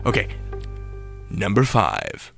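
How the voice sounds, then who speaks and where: Casting: male countdown-podcast host, very lively swooping delivery, mid-pitched, playful and theatrical, some music around the voice. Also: slow pace, creaky. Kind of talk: chatty podcast countdown and commentary.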